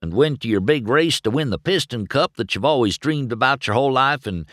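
A man voicing a simple, friendly, excitable tow truck character who talks in a folksy, rambling way. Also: medium pitch, a little fast, no room sound, studio quality, pitched above the performer's own voice, close to an everyday voice. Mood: chatty, excited. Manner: folksy, rambling, run-on talk.

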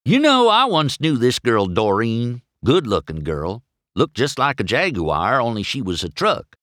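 A man's voice: medium pitch, big pitch swings, measured pace, fairly bright tone, no room sound, clean studio sound, voice pushed up. A man voicing a goofy, friendly male tow truck in a children's storybook, chattering in a folksy, rambling way. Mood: chatty, proud.

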